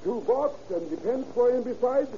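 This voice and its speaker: An actor playing an excitable, haggling male shopkeeper in an old-time radio drama. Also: extremely high pitch, normal speed. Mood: indignant. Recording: muffled, faintly noisy old radio recording.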